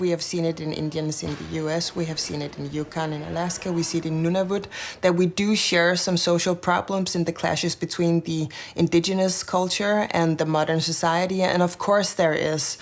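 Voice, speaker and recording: A woman politician answering interview questions thoughtfully, sometimes pausing to find her words. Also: lowish voice, steady tone.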